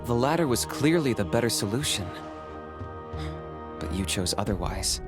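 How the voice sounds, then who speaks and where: A man's voice: lowish voice, animated, moderate pace, over loud music, natural voice. A man playing a skeptical advisor. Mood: probing. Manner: questioning, earnest. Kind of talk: game voice acting.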